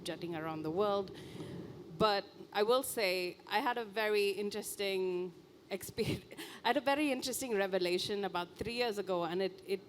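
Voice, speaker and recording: A woman's voice: mid-range voice, moderate pace. Indian-accented woman in her thirties, humorous researcher on a panel, faint noise. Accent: Indian English. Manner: wry, humorous, relaxed. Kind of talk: live panel discussion. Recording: faint noise, live event ambience.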